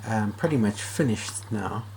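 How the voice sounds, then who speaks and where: A man's voice: lowish voice, measured pace, darkish tone, low-quality recording. A man talking casually and thinking aloud as he does a hands-on repair vlog. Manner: informal, thinking aloud while working. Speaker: video host and radio repair hobbyist. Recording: clear background noise.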